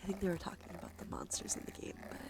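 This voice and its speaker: A woman hosting a cozy ASMR livestream, chatting casually in a soft, breathy, often half-whispered voice with a congested nose, in a laid-back, rambling way. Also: racing. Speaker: livestream host. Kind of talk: cozy ASMR stream, casual chat to viewers. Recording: clear background noise, ambient sound.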